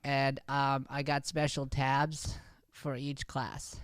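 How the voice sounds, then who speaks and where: A man's voice: medium pitch, completely flat delivery, slow pace, fairly bright tone, clear, resonant tone, dry recording, clean studio sound. A man playing an earnest, evasive schoolkid in an improvised radio comedy, hesitant and innocently questioning. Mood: defensive. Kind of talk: improvised old-time radio comedy acting.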